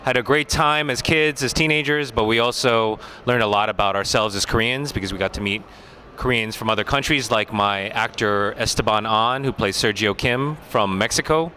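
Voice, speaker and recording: An American man speaks as a film director in a relaxed, unhurried festival interview, often pausing with fillers. His voice is bright and clear at a middle pitch. Faint traffic noise is in the background. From United States. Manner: relaxed, thoughtful, many fillers.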